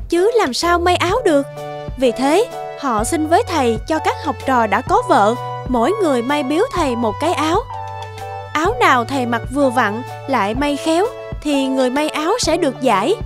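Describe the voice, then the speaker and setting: A woman narrator reading a scripted fairy tale for children. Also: sits high. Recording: music under the voice.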